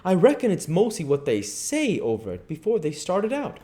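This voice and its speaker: A bold young boy out for adventure, played by a man reading aloud, pitched high and excitable. He speaks emphatically, as a storybook ringleader. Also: moderate pace. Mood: musing. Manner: emphatic, exclamatory.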